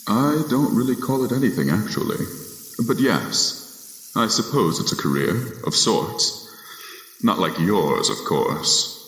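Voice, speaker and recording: A man's voice: fairly low pitch, sing-song, unhurried, fairly bright tone, slight background noise, exaggerated voice. A young man acting in a radio drama as a polite, businesslike Death figure with a hesitant, understated, formal manner. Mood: mildly defensive. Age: young adult. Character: polite, unhurried Death-like collector, modest and businesslike. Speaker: student voice actor. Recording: echoey, long reverberant room sound.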